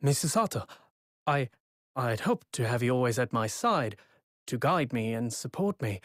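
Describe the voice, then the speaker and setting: An actor playing an earnest, intense young lawyer in a video game, halting and heartfelt. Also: male voice, medium pitch, very animated, dry recording, studio quality, soft background music, lightly acted. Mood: heartfelt, pleading.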